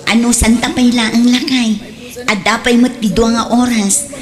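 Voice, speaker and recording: A woman's voice: a little high, fairly even intonation, normal speed, lightly acted. A woman playing an earnest, persevering younger sister who sells at the market, in a radio drama. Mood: earnest, hopeful.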